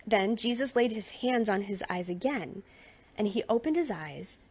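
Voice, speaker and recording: A woman reading a Bible narrative aloud in an expressive storytelling way. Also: medium pitch, moderate pace, husky. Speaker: scripture reader.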